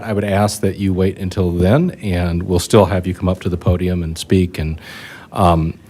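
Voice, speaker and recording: Low-voiced man chairing a village meeting. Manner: relaxed, plain-spoken, reads rules aloud. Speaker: village board president.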